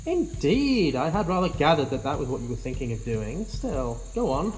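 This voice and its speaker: A man's voice: a little high, sing-song, moderate pace, heavy background noise. An actor playing a reckless, obsessive, flippant monster hunter in an audio drama, wordy and wry in his theatrical delivery. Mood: chipper, brisk. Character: reckless, obsessive male eccentric hunting a monster. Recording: music behind the voice.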